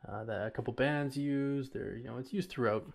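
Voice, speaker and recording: A man's voice: mid-range voice, moderate pace, creaky. A man hosting an informal audio tutorial, talking through each step in a casual, somewhat hesitant way. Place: voice-over recorded while working at a computer.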